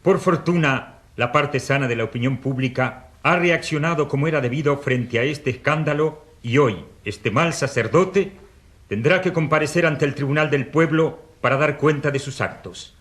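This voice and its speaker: Actor as pompous, high-pitched indignant newspaperman. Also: normal speed, a bit bright, lightly acted. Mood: righteous indignation. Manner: declamatory, pompous. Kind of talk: dramatized reading of press headlines and editorial.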